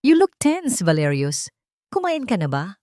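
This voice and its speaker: A woman voicing a cold, scheming rich mother in an audio drama, calm and haughty. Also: medium pitch, measured pace, no room sound, studio quality. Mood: feigned concern. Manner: calm, haughty, code-switching Tagalog and English.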